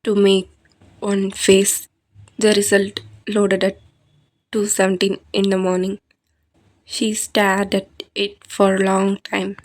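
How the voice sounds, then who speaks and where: Woman, horror podcast storyteller, very flat intonation, deliberate dramatic phrasing, scripted delivery. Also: mid-range voice.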